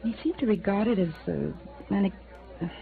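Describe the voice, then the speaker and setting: A woman's voice: medium pitch, expressive, moderate pace, gravelly. A woman acting a guarded, troubled young ship passenger, earnest and hesitant, in 1950s radio drama style. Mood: wistful. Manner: earnest, hesitant, breaking off sentences. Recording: muffled old radio recording, faint noise.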